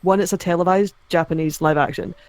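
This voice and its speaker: A young woman with a mid-range Scottish accent, chatting casually as a podcast guest, quick to laugh and restart her sentences. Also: fairly low pitch, steady tone, darkish tone. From Scotland. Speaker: podcast guest, anime video creator. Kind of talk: casual chat.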